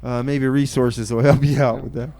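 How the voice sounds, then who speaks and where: A man's voice: mid-range voice, flat delivery, normal speed, gravelly, dark tone. A man hosting a community radio music show, bantering casually and back-announcing songs in a folksy, rambling way. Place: radio studio.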